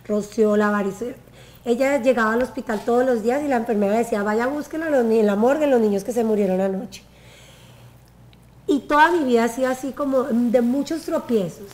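A Colombian woman in her forties tells her life story on a sofa, her voice sitting a little high. She talks in an animated way and gestures with her hands. She is on a lapel mic in a bright living room. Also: fairly quick. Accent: Colombian Spanish. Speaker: guest. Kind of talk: casual interview storytelling.

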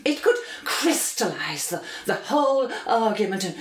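An actress playing a pretentious, gushing amateur thespian with a British accent and an affected, precious, stagey delivery. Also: female voice, medium pitch, big pitch swings, measured pace, echoey room. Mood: earnest. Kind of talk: stagey comic radio play dialogue.

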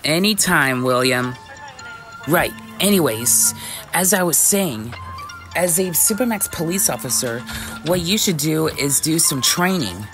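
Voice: mid-range voice, expressive, unhurried, music in the background. A performer with a woman-like voice acting out an easygoing, dutiful werewolf police chief in scripted ASMR roleplay.

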